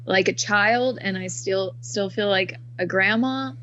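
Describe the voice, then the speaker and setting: A woman's voice: medium pitch, fairly bright tone, a little hiss or hum. Chatty woman around thirty, dull recording. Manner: chatty, upbeat, quick to laugh. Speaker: guest. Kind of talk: casual interview chat.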